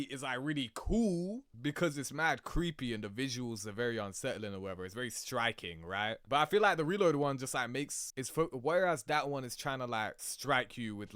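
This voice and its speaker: A man giving casual, slangy, animated reaction commentary as a video host. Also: medium pitch, no room sound, studio quality.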